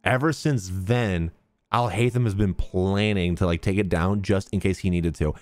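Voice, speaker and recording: A man's voice: sits low, moderate pace, darkish tone, dry recording. A young man reacting casually to a game on stream, slangy and exclamatory. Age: young adult. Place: small indoor streaming room. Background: game music.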